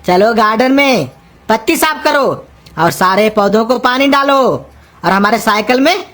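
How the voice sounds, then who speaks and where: A woman's voice: fairly high pitch, lively intonation, moderate pace, a bit bright, slight background noise. A woman voicing a cranky, scolding old cartoon uncle. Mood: exasperated. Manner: gruff, insulting, exasperated scolding. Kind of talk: cartoon comedy dialogue in rustic Hindi.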